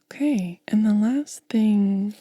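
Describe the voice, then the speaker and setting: A woman hosts ASMR in a breathy, hushed whisper. She moves extremely slowly through short, gentle phrases with pauses between them. The sound is close and very dry, with a soft, mellow tone. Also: medium pitch, no room sound. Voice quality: breathy, mostly unvoiced whisper. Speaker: ASMR host. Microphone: close ASMR microphone.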